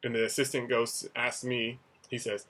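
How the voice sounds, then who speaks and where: A man's voice: mid-range voice, little pitch movement, normal speed, fairly bright tone. Rambling young man, casual vlog chat. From United States. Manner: rambling, conversational, many fillers. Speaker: English teacher in Asia. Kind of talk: casual talking-head vlog.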